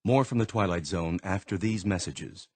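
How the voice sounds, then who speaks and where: Low-pitched American male radio announcer. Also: moderate pace. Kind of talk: scripted credits announcement.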